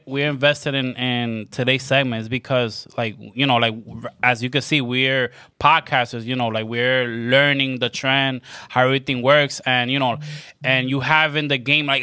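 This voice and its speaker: A man's voice: mid-range voice, steady tone, normal speed, sharp tone, smooth, no echo, clean studio sound. A man co-hosting a podcast who asks rambling questions full of fillers. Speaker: podcast co-host. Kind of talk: casual podcast interview.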